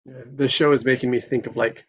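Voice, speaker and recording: A man's voice: medium pitch, fairly even intonation, quick pace, breathy edge. A young man chatting casually in a loose, conversational way over a lo-fi microphone. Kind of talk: casual chat. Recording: dull, lo-fi microphone sound.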